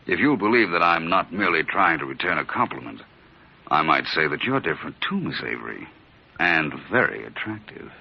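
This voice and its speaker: An actor playing a witty, self-assured gentleman detective in an old-time radio drama, speaking in an urbane, dry, precise way. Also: deep voice, sing-song, fairly quick, vocal fry, exaggerated voice. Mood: smooth, flirtatious. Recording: muffled, hissy old radio recording.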